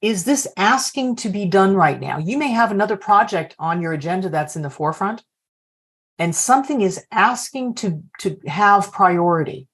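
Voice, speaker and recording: A woman's voice: medium pitch, lively intonation, moderate pace. A woman coaching leaders on a video call, reflectively posing open questions to the group. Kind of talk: workshop facilitation.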